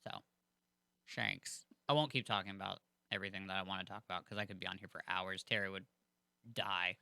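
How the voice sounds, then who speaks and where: A man rambles through casual podcast chatter, dropping fillers as he goes. His voice is strikingly bright and smooth, recorded close and dry. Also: sits low, fairly even intonation, fairly quick, dry recording. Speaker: podcast co-host.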